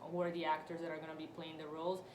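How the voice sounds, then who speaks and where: An Italian-accented woman with a fairly low voice, talking in a lively, eager way and restarting her sentences often. She is recorded in an echoey room with steady background noise behind her. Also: very fast. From Italy (Vicenza, Veneto). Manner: animated, restarts often, laughs easily. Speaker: guest, actor and film producer. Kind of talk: casual interview chat.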